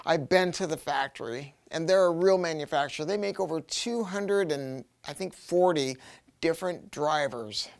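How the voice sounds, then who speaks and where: A man's voice: on the high side, moderate pace, creaky. A middle-aged American man giving a casual, enthusiastic product review in colloquial, emphatic language, with a rambling delivery.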